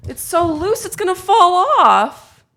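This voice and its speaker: A woman's voice: very high-pitched, sing-song, measured pace, pushed and bright. A young woman streamer chatting casually, self-deprecating and fussing with her microphone setup. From lives in Texas. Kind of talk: casual live-stream chat.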